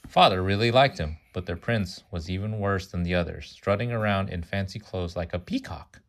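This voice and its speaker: A man's voice: low voice, moderate pace, a bit bright. A man reading a children's storybook aloud to a child, stumbling over words now and then, correcting himself and adding chatty asides.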